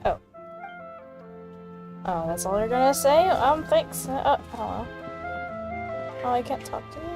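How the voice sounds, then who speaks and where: A woman's voice: fairly high pitch, lively intonation, unhurried, long pauses, low-quality recording. A woman casually commentating on a game she is playing, rambling and reacting aloud. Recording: game music behind the voice.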